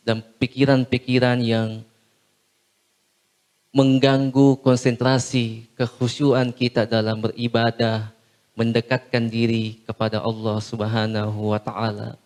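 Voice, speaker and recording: A man preaching a short Islamic sermon in a measured, solemn way, phrase by phrase with long pauses. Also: medium pitch, a little mellow.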